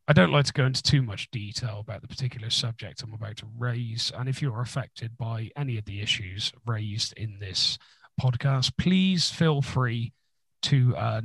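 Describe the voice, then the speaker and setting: A man co-hosting a podcast, chatting casually with dry jokes, his voice husky after being ill. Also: mid-range voice, no echo, poor audio. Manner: dry joking, rambling. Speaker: podcast co-host. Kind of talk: casual chat.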